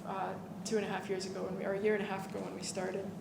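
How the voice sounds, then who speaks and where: A woman's voice: mid-range voice, little pitch movement, gravelly. Earnest woman speaking in an echoey hall. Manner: earnest, halting, with restarts. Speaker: event speaker introducing the presenter. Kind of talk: personal testimony to a live audience. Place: indoor event hall. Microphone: stand microphone. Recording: echoey room with background noise.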